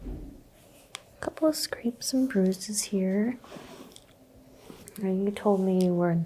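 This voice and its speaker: A woman whispering gently as a doctor in an ASMR medical exam roleplay, asking soft questions. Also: mid-range voice, slow pace, slight background noise.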